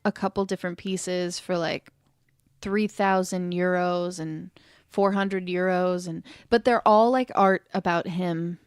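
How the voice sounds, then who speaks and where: A woman's voice: on the low side, fairly even intonation, measured pace, smooth, dry recording, clean studio sound. A woman from Ohio co-hosting a podcast, talking casually with many fillers. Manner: chatty, lots of "like" fillers. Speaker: podcast co-host. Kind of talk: casual chat.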